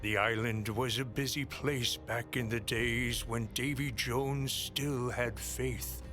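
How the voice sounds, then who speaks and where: An actor playing a solemn storyteller of a dark past, giving scripted video game narration in a measured, portentous manner. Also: male voice, mid-range voice, natural voice.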